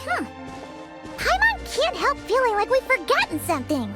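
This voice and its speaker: A woman's voice: very high-pitched, wildly swooping pitch, moderate pace, exaggerated voice. A woman voicing a tiny bubbly floating fairy companion with a childlike, chirpy manner in a video game. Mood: chirpy, eager. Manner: chirpy, refers to self in third person. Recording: music behind the voice.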